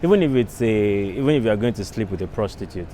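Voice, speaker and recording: A man's voice: fairly low pitch, animated, fast talker, mellow, slight background noise. A man giving a casual opinion as an interviewee, arguing emphatically step by step and checking in with the listener. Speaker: street interviewee.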